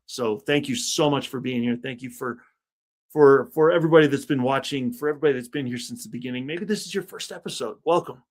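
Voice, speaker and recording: A man's voice: mid-range voice, quick pace. A man hosting an interview podcast, upbeat and chummy, often calling his guest "man.". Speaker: podcast host.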